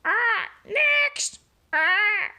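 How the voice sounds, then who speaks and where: A bossy pirate parrot from a storybook squawks in a shrill, very high voice. It stretches out its pirate slang slowly, and the tone stays bright and sharp. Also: female voice, animated, heavily acted. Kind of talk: storybook read-aloud.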